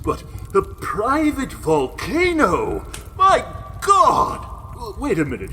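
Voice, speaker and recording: Casting: self-absorbed screen star, flamboyant, slow grand delivery, extremely varied pitch, comic audio drama. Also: male voice, bright, forceful tone, big-room sound, slight background noise, full character voice. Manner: grandiose, gushing, theatrical. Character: pompous, flamboyant, self-absorbed celebrity film actor. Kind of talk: comedic audio drama acting.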